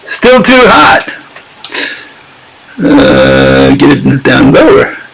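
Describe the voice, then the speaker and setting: A man's voice: mid-range voice, sing-song, drawn-out words, creaky, a bit bright, slightly rough, poor audio. A man hosting a casual live-streamed talk, sarcastic and chatting with his viewers as he goes. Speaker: channel host giving a critique.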